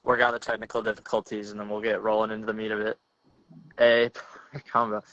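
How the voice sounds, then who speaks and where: Young male podcast host, slangy and easygoing, forceful bright tone, close dry sound. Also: lowish voice, completely flat delivery, fairly quick, no echo, dull audio. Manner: laid-back, slangy, rambling with restarts. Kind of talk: casual chat.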